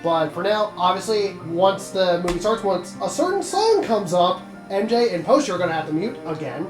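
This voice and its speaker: A man's voice: high-pitched, big pitch swings, moderate pace. A man hosting a casual game playthrough, explaining things directly to the viewers as he comments. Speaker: host of a game cutscene playthrough. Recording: roomy echo, music behind. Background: game music.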